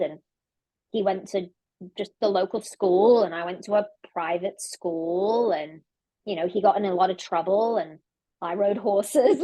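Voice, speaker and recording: A woman with an English accent talks through her life in a relaxed conversation. She is earnest and reflective, circling back and restarting her phrases as she thinks. Her voice sits at a middle pitch and is recorded close and dry, with no room around it. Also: dry recording, rough recording. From London. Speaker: podcast guest, leadership coach. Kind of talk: casual conversation.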